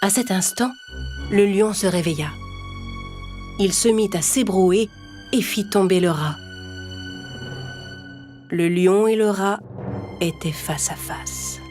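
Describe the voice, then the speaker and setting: A woman narrates an animated fable for children. Her pitch is a little low for a woman, and she tells it as a scripted story. Music plays clearly under the voice. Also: slow pace, long pauses.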